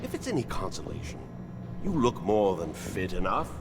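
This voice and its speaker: An actor playing a kindly, absent-minded older medic in a video game, fussy and rambling with quaint turns of phrase. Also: male voice, low-pitched, very animated, moderate pace, gravelly, music in the background, heavily acted. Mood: cheerful, fond. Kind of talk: scripted video game dialogue.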